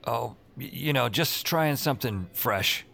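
An actor playing a wry, beleaguered emergency call operator who narrates his own story in a deadpan way in an audio drama. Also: mid-range voice, measured pace, vocal fry, full character voice. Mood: flustered. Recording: music behind the voice. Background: music.

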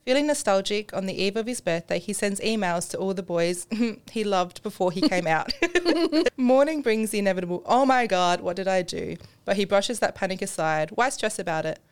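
A woman's voice: mid-range voice, moderate pace, hardly any pauses, smooth. A woman co-hosting a podcast, chatting casually and informally about books, with a giggly manner. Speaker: podcast co-host. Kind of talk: casual book chat.

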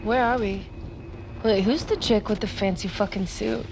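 A woman's voice: mid-range voice, normal speed, lightly acted. An actress playing a brash, sardonic woman in an audio drama, wry and flippant. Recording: loud background ambience and noise.

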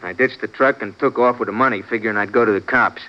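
A man's voice: on the low side, fast talker, close to an everyday voice. A man acting an edgy, cornered young hoodlum with curt street slang in a 1950s radio crime drama. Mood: anxious, confessing. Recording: dull vintage radio broadcast recording.

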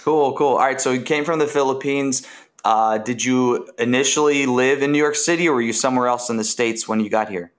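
A man's voice: medium pitch, fairly quick. A man hosting an interview podcast, upbeat and casual, with frequent "uh" fillers. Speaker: podcast host.

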